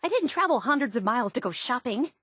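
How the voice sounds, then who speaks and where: An excitable, high-voiced superfan. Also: female voice, quick pace, no room sound, clean studio sound. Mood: awestruck. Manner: gushing, earnest, excitable. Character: eager, obsessive young woman superfan of a soda brand. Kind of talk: video game character dialogue.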